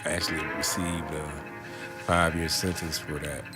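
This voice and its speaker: A man's voice: rumbling low, level tone, normal speed, over loud music. A man from Alabama telling his own life story in an interview. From Birmingham, Alabama. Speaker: poet and professor, former prisoner. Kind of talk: autobiographical interview talk.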